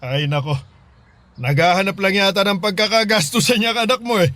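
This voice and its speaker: A man's voice: high-pitched, fairly quick, sharp tone, dry recording. A man acting a menacing, middle-aged bully of a stepfather in a radio drama, taunting and goading. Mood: sneering, accusing.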